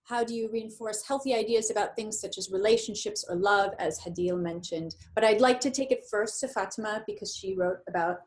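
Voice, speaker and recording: A woman's voice: medium pitch, a little fast, few pauses, low-quality recording. A woman moderating an online panel, reading out audience questions in an orderly way.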